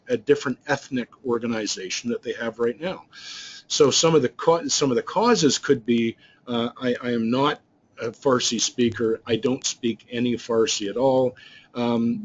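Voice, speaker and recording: A man's voice: medium pitch, breathy edge. Man explaining slides, dull-sounding audio. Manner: loose, rambling explanation full of restarts and fillers. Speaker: business trainer. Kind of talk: explaining slides in a recorded webinar.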